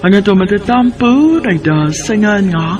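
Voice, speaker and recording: A man's voice: sits high, expressive, normal speed, dull audio, music in the background. A man with a Filipino accent acting in a radio drama.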